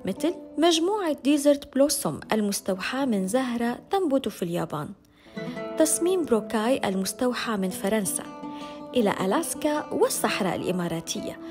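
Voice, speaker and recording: A woman's voice: fairly high pitch, big pitch swings, quick pace. A woman presenter with a Levantine Arabic accent, delivering scripted promotional narration. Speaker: presenter and interviewer. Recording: music behind the voice. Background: background music.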